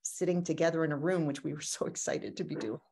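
Female actor, thirties, lowish pitch, thoughtful talkback style, recorded at home over video call. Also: fast talker, mellow, slightly rough. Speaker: actor in an audio drama cast. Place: room at home, indoors.

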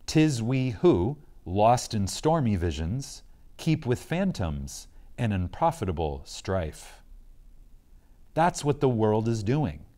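A man giving a reverent, scripted reading of a spiritual lecture, solemn and emphatic, with deliberate pauses. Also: fairly low pitch, sing-song, dark tone.

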